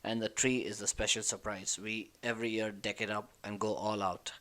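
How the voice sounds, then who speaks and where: Lower-voiced Indian man telling his story. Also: level tone, normal speed, a bit bright. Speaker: guest. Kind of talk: personal storytelling and presentation.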